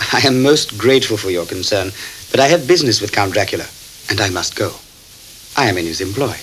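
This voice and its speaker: An actor playing a polite, businesslike gentleman traveler in a radio drama, speaking in a formal, measured way. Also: lowish voice, lively intonation, rough recording. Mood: politely firm. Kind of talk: radio drama acting with first-person narration. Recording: hissy 1979 cassette tape recording.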